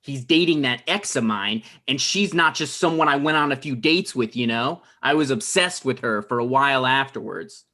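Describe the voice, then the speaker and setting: A man's voice: medium pitch, normal speed, few pauses, pushed and bright, natural voice. A man playing a neurotic, self-absorbed playwright, conversational and rambling, in a comedy table read. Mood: bitter, obsessed.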